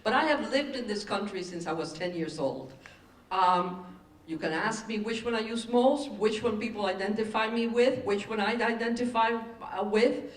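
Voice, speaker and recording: A woman's voice: medium pitch, moderate pace, slight background noise. A woman whose first language is Spanish, speaking conversationally as a panelist. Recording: echoey room, slightly distant mic.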